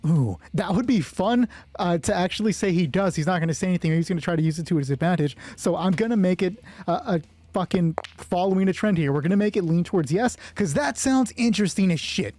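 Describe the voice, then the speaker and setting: A man narrating a solo tabletop roleplay and thinking aloud in a casual, sweary way, with a husky voice. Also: on the high side, mellow, no echo. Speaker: solo roleplay game master. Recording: background music under the voice. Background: music.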